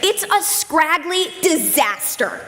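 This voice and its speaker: A woman's voice: high voice, very animated, takes it slowly, a bit bright, soft background music, heavily acted. A woman playing an earnest village child in a readers theater stage play. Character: earnest village child, hopeful but skeptical. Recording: echoey hall, faint noise.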